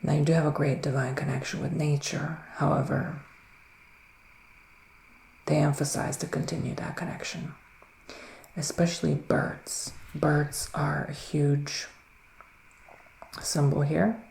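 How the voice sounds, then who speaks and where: Soft-spoken, creaky-voiced male ASMR witch. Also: a little high, flat delivery, reverberant, slight background noise. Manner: slow, hesitant, musing, with murmured hums. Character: gentle, mystical male witch giving readings. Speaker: ASMR roleplayer as a psychic witch. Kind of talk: soft-spoken ASMR roleplay, partly whispered.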